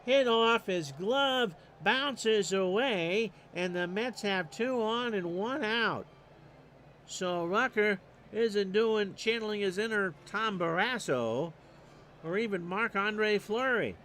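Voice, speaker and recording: A man calls a replayed baseball game on his own, in a high voice and at an easy, unhurried pace. He works through stats with dry little asides and turns loud and excited when the ball is in play. Faint street noise hums behind him. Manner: stat-heavy, dry asides, rising excitement on big plays. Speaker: play-by-play announcer. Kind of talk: solo replay commentary streamed live.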